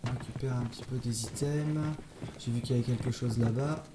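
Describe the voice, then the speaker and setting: A man's voice: medium pitch, fairly even intonation, brisk, background noise. A man casually commenting on his gameplay, chatty and playful, with jokey asides to his viewers. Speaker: let's play host. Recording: roomy sound with loud game music.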